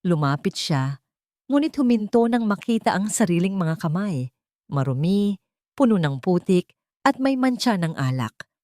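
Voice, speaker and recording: A woman's voice: mid-range voice, sing-song, normal speed, a little mellow, no room sound, clean studio sound. A woman with a Filipino accent reading a dramatic scripted story. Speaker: narrator.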